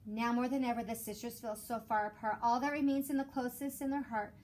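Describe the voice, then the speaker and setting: A woman who teaches elementary school reads aloud expressively for children in a measured storytelling way. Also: fairly high pitch, fairly even intonation, a little mellow. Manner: measured storytelling with rhymed cadence. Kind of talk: expressive children's picture book read-aloud. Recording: clear background noise.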